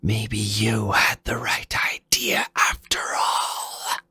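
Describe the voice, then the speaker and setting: A man's voice: lowish voice, little pitch movement, slow, pushed and bright, husky, no room sound, whispered, pitched above the performer's own voice, full character voice. A man voicing a proud, battle-hungry male combat robot in a fan fiction story, with a theatrical, taunting delivery. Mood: excited menace.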